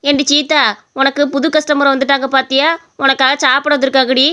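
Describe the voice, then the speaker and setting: A woman's voice: high voice, little pitch movement, fairly quick, pushed and bright. A woman voicing a chatty, blunt, familiar local woman customer in a story. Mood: cheerful.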